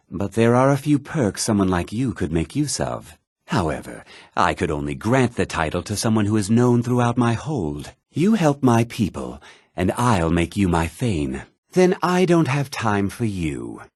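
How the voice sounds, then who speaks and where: An actor voicing a haughty, scheming fantasy townsman in a video game, with a husky voice and theatrical, condescending phrasing. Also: lowish voice, sing-song, moderate pace, a little mellow, dry recording, studio quality. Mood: lordly approval. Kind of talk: video game character dialogue.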